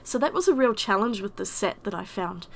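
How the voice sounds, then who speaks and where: A woman with a croaky voice from a summer cold, chattily narrating a watercolour painting voice-over. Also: mid-range voice, animated, a little fast. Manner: chatty, self-deprecating. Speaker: watercolour artist.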